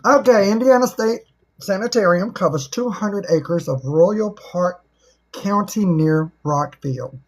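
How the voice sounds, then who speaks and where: A middle-aged woman with a husky voice, chatting casually as a livestream host in a folksy, teasing, joking way. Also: medium pitch, unhurried, darkish tone, slight background noise.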